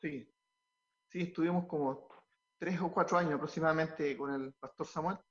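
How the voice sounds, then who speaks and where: Man, pastor, earnest, halting, repeats words, fairly high voice, muffled lo-fi sound. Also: steady tone, fairly bright tone. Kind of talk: interview answers, turning preachy.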